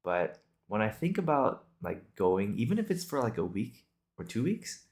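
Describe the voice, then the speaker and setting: A man's voice: on the low side, big pitch swings, normal speed. A young man from Singapore and Australia chatting casually and unscripted, thinking aloud in a rambling, hesitant way. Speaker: video podcast host. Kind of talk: casual improvised solo chat.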